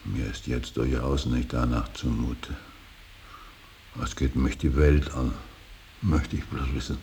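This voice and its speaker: An older male actor playing a grieving, stern and brooding old painter in a radio drama, speaking in a halting, weighty, solemn stage manner. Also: flat delivery, mellow, full character voice. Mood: weary, grieving. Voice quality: very deep, gravelly. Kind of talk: slow, solemn German stage-style radio drama acting. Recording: hissy radio play recording.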